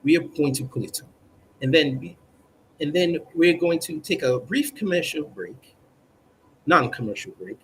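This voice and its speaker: A man's voice: fairly high pitch, animated, moderate pace, long pauses, slight background noise, poor audio. A man hosting a comedic mock newscast in a stilted, formal newsreader manner, drawing out his words. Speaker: mock news presenter on a livestream.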